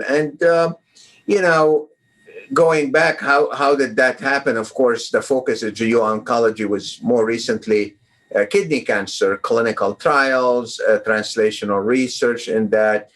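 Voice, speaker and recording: A middle-aged man chats as an interview guest over a video call. His voice sits at an ordinary, middle pitch, and he wanders through his answers with plenty of fillers and restarts. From Lebanon. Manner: rambling, with many fillers and restarts. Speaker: guest oncologist. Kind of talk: casual interview chat.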